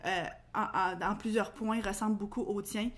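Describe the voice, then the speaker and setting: A young woman with a Québécois accent, co-hosting a casual podcast chat, talking quickly with lots of fillers. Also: medium pitch, fairly even intonation. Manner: chatty, quick, colloquial, many fillers. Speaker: podcast co-host.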